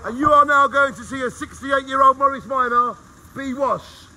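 A man's voice: very high voice, fairly even intonation, normal speed, bright, forceful tone, slight background noise, low-quality recording. A middle-aged man filming himself on his phone at an outdoor car wash, chatting to viewers like a showman. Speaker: vlogger. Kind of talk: cheerful vlog commentary. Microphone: phone held at arm's length.

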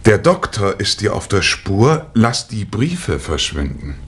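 A bewildered husband in an old radio mystery. He talks slowly and hesitantly, with wide swings of pitch and a flustered air. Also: huge pitch swings, a bit bright, heavily acted. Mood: baffled, flustered. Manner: puzzled, hesitant. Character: bewildered husband, flustered late-night visitor. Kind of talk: 1960s German radio crime drama acting.

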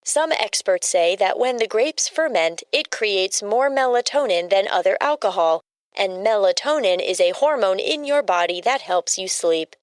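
A woman's voice: mid-range voice, lively intonation, moderate pace, no echo. A woman reading scripted facts as a co-host, in a matter-of-fact manner. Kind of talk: scripted informational narration.

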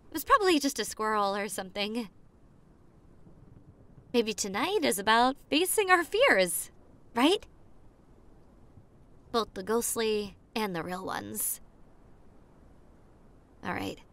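Slow-spoken, sweet popular girl, acted by a woman. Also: a little high, very animated, full character voice. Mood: playful, reassuring. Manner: soft, intimate, giggly, telling a spooky story slowly. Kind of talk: ASMR roleplay.

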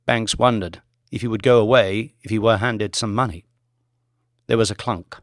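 A man's voice: fairly low pitch, fairly even intonation, normal speed, no room sound, clean studio sound. A man reading scripted fiction as an audiobook narrator, with dramatic storytelling delivery.

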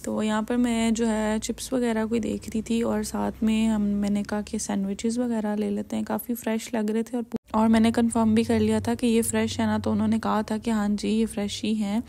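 Gravelly-voiced young Pakistani woman vlogger. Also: fairly high pitch, monotone, brisk, hardly any pauses, mellow. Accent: Pakistani Urdu. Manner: chatty, filler-heavy storytelling. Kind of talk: casual vlog voice-over narration.

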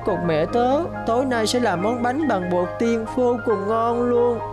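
A woman's voice: a little high, measured pace, music in the background, natural voice. A woman voicing a tiny, sweet girl fairy in a cartoon, childlike and plaintive. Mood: homesick. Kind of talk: cartoon voice acting.

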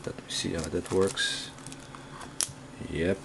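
Male, Dutch accent, low register, unhurried and hesitant amateur tech commentary, noisy room recording. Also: steady tone, creaky. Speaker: tech reviewer. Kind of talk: casual unboxing commentary. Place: indoors at a desk in a room.

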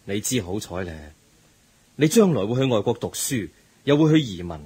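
A man's voice: on the low side, very animated, moderate pace, mellow, breathy edge. A man with a Hong Kong Cantonese accent playing a teacher in a radio drama, earnestly lecturing a student. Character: patient middle-aged teacher, moralizing and kind.

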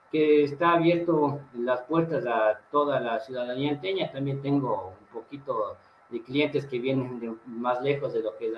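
A man's voice: mid-range voice, a little hiss or hum. A middle-aged man with an Ecuadorian accent, a gym director speaking earnestly as a guest in a remote interview, asking emphatic questions. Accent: Ecuadorian Spanish. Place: indoor room, video call. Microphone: webcam mic on a video call. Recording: roomy, slightly dull video call audio.

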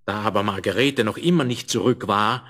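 A man's voice: fairly low pitch, fairly quick, a bit bright. A man narrating a scripted fairy tale in the manner of a 1970s children's audio play. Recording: noisy vinyl rip with clicks.

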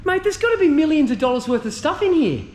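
A man's voice: very high-pitched, very animated, a little mellow, a little hiss or hum. An Australian man hosting a casual vlog, joking and teasing people he meets in a large garage. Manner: cheeky, teasing, fast banter. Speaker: vlogger host. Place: large indoor garage and bike shop.

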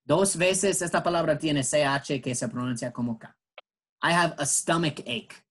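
A man's voice: a little high, normal speed, fairly bright tone. A man teaching an online English class, patiently explaining in English and Spanish.

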